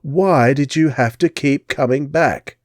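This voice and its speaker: A man voicing a tall, well-dressed Englishwoman with an English accent, a soft-hearted accomplice. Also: fairly high pitch, unhurried, no room sound, clean studio sound, voice pushed up, lightly acted. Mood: regretful.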